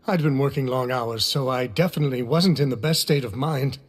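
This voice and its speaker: A man's voice: mid-range voice, a little fast, lightly acted. An actor playing a deferential, anxious working man in a video game, hesitant, polite and apologetic. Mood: apologetic, sheepish. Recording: long hall-like reverb.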